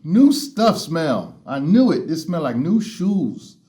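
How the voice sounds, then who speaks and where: A loud, big-voiced man hosting a casual, playful unboxing of earphones. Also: a little high, sing-song, slow. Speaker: headphone reviewer. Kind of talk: casual unboxing first impressions.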